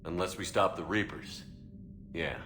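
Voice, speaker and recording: An actor playing a grim, weary starship commander in a video game, terse and commanding. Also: male voice, low-pitched, steady tone, unhurried, over music. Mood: weary, doubtful. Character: male military commander, grim, weary, determined starship captain. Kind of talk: video game cinematic acting.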